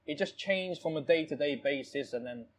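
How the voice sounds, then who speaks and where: A man's voice: fairly high pitch, moderate pace. A man telling stories casually in conversation, rambling and repeating phrases, with frequent "you know". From born in Thailand, lives in London. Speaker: restaurant owner, interview guest.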